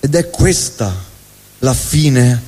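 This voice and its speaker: A man's voice: medium pitch, drawn-out words, dark tone. A man performing a theatrical comic storytelling monologue on a live stage. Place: indoor stage at a live event. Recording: reverberant hall, live stage.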